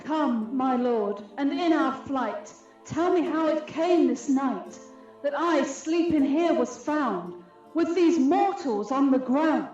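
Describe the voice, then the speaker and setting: Woman as a high-voiced fairy queen, echoing. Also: lively intonation, slow, darkish tone. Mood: wondering. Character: regal fairy queen, dreamy, incantatory. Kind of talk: radio play Shakespeare verse. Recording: muffled, cavernous echo with music.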